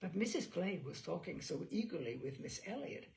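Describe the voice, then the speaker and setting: Expressive, creaky-voiced woman narrator. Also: fairly low pitch, moderate pace. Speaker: audiobook narrator. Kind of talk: expressive scripted narration of a classic novel.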